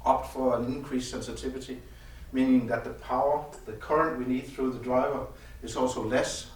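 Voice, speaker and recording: A man who speaks as a gives a halting technical explanation of audio gear as its designer. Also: mid-range voice, fairly even intonation. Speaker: loudspeaker designer presenting gear at an audio show. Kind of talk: technical product explanation in conversation. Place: indoor demo room at an audio show. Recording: echoey room, distant mic, faint noise.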